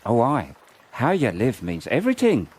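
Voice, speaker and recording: A man's voice: medium pitch, huge pitch swings, moderate pace, darkish tone, husky. A man acting a cynical, fatalistic First World War private in a radio drama, with a blunt, colloquial manner. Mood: bitter, sardonic. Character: cynical, fatalistic WW1 private soldier in the trenches.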